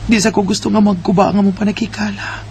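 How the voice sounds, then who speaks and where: A woman's voice: medium pitch, flat delivery, quick pace, lightly acted. A woman with a Filipino Bisaya accent playing a shy, hesitant young woman in an amateur radio drama. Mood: wistful, longing. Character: Mika, shy, hesitant young woman guarding her feelings. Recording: noisy homemade radio drama recording.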